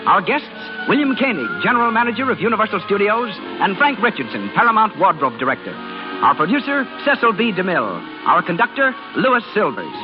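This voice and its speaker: A man's voice: high voice, very animated, brisk, over music. An American man announcing a radio show and its advertising in a formal, emphatic 1930s style. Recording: dull vintage radio recording.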